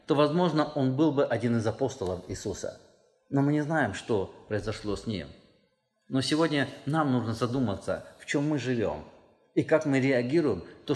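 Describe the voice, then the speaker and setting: A man preaching a sermon as pastor, speaking earnestly and pressing his points with rising emphasis. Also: a little mellow. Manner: earnest, emphatic, rises in pitch and force when stressing a point. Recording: long hall reverb.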